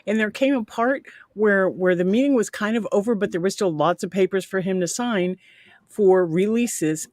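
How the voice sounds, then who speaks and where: A middle-aged woman on a video call, speaking in a low, soft voice she calls ASMR-like, telling a heartfelt, rambling story with pride. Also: normal speed, no room sound, clean studio sound. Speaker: parent sharing a story. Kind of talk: casual group chat. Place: home video chat.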